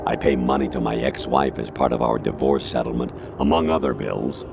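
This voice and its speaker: Very deep-voiced military colonel. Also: male voice, a little fast, creaky. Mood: confessional. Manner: commanding, clipped, formal, sliding into rambling non sequiturs. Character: stern older male military colonel turned eerily erratic. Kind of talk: dramatic video game voice acting. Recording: music behind the voice. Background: loud music.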